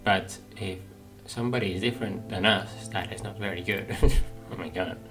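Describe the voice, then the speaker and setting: A man's voice: sits low, fairly even intonation, moderate pace. A man doing casual let's play commentary, musing tentatively and thinking aloud as a gaming streamer. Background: game music.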